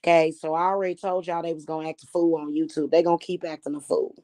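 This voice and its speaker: A woman talks straight to her viewers in a loose, chatty way. Her voice is slightly hoarse, and her tone stays defiant and unbothered. She calls the audience "y'all" throughout. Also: deep voice, steady tone, fast, warm tone. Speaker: spiritual reader and channel host. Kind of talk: casual talk to viewers.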